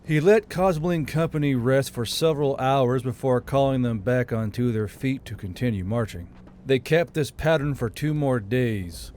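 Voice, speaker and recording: A man's voice: mid-range voice, darkish tone, a little hiss or hum. A man reading scripted fiction as an audiobook narrator. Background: background music.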